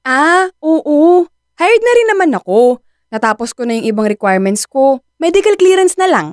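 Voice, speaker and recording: High-voiced anxious young Filipina. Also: female voice, sing-song, moderate pace, no room sound, studio quality. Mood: chatty. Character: anxious young working woman, unexpectedly pregnant. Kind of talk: Filipino radio drama acting.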